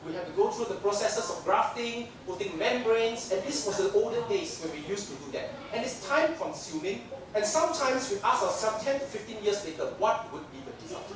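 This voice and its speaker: Singaporean-accented male lecturer, chatty, high-pitched, miked from far off in a reverberant hall. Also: expressive, fairly quick, hardly any pauses, crowd noise. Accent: Singaporean English. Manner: chatty, joking, many fillers. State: jet lagged. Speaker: dental lecturer. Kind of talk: conference lecture. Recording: echoey hall mic from far off, audience noise.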